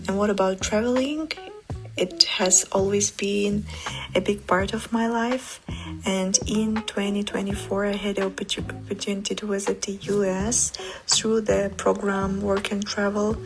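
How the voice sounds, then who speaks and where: A woman in her twenties from Ukraine, speaking English, answering interview questions carefully, pausing and restarting words. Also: medium pitch, little pitch movement, music in the background, rough recording. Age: 20s. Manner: careful non-native English with pauses and restarts.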